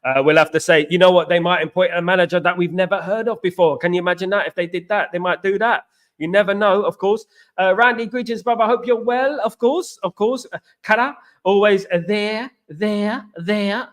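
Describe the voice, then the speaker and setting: A man's voice: high-pitched, fairly quick, fairly bright tone. A man hosting a live football fan stream, chatting casually, reading viewer comments and repeating himself. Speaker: host. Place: China.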